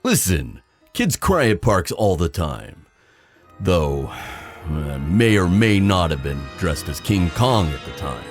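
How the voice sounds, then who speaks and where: A man playing an easygoing, deadpan ghost hunter in an audio drama. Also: sits low, takes it slowly, soft background music, heavily acted. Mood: easygoing, dry.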